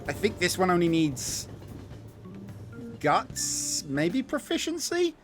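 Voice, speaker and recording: Lively, wry male game streamer. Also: sits high, takes it slowly. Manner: rambling, sweary, wry. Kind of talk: casual live game commentary. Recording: game music behind the voice.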